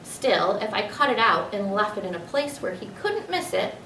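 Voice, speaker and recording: A woman reading a children's storybook aloud in an expressive narrating style. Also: mid-range voice, normal speed. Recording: echoey room, distant mic, faint noise.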